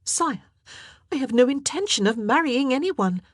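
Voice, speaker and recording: A woman's voice: high-pitched, measured pace, dark tone, breathy edge, no echo, clean studio sound, pitched above the performer's own voice. A woman voicing a proud, distraught young queen who pleads and cries out in indignation. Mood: defiant.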